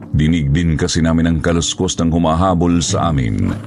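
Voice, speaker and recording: Extremely deep, creaky male horror narrator. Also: moderate pace, a little mellow. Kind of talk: dramatic scripted first-person narration. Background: music.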